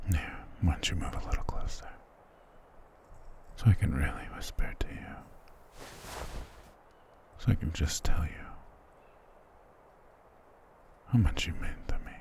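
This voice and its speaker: A man's voice: a bass voice, vocal fry, a little mellow, exaggerated voice. A man playing a dominant, doting vampire lord in an intimate ASMR roleplay, speaking tenderly and possessively with formal endearments. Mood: adoring, intimate.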